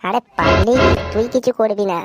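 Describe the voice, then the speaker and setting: A man's voice: sits high, normal speed, loud music behind the voice, natural voice. A man with a West Bengal accent voicing a sly, lecherous married man in an adult comedy cartoon, sweet-talking suggestively and backtracking. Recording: loud noise behind the voice.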